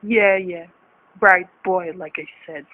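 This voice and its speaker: A man's voice: high-pitched, steady tone, takes it slowly. An actor playing a cocky criminal gunman in a radio thriller, talking in clipped, sneering threats. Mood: bitter, sneering. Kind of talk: vintage radio thriller acting. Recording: tinny, noisy old radio recording.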